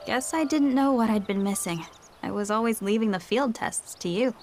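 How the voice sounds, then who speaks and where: An actress playing a dutiful, overworked chief engineer in a video game, polite, mild and earnest. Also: female voice, on the high side, normal speed, darkish tone, faint music behind, close to an everyday voice. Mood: relaxed, appreciative. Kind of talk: dramatic video game cutscene acting.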